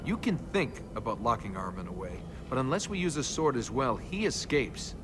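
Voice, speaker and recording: Lively, wisecracking roguish adventurer played by an actor. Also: male voice, medium pitch, brisk, natural voice. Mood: wry, casual. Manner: flippant, sardonic, casual. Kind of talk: video game dialogue. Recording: clear background noise.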